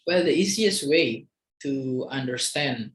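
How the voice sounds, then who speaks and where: Indonesian lecturer, hesitant, full of fillers. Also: male voice, mid-range voice, expressive, slow pace, a little hiss or hum. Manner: hesitant, many fillers and restarts. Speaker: university lecturer. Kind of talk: lecture for an online video. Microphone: video call microphone.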